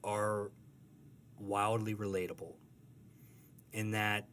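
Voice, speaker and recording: A man with a fairly low voice speaks as a guest in an informal interview. He is hesitant and thoughtful, feeling his way through answers. There is slight background noise. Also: flat delivery, slow pace, fairly bright tone. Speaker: comic strip artist, interview guest. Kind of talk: casual interview chat.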